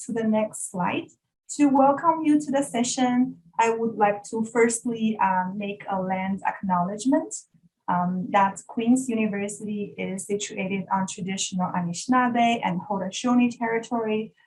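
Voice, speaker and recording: A woman's voice: on the high side, a little mellow, rough recording. A woman from China, a native Mandarin speaker with a Mandarin Chinese accent, politely and formally presenting as the facilitator of an online workshop. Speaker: workshop facilitator, educational developer. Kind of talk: workshop introduction, prepared presentation. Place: online video call session.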